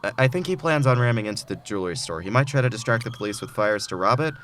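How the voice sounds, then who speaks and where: A man's voice: mid-range voice, expressive, fast, fairly bright tone, a little hiss or hum, natural voice. A man playing an anxious, eager middle-aged file clerk in an audio drama, nervous and earnest. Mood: alarmed, urgent. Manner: nervous, earnest, self-coaching. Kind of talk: audio drama acting, talking to himself.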